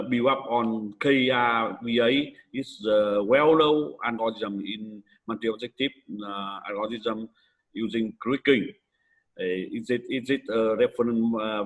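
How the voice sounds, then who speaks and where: A man from Vietnam with a Vietnamese accent gives an academic conference presentation in halting, hesitant English with frequent pauses. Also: on the low side, poor audio.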